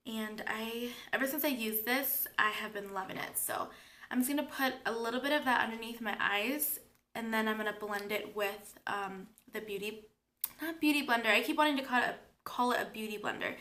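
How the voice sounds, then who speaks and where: Young woman doing her makeup on camera, stuffy from a cold, bright voice, chatty. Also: fairly high pitch, fairly quick. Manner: chatty, explains each step as she works. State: congested from a cold, sore throat. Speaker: beauty vlogger. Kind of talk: casual makeup tutorial.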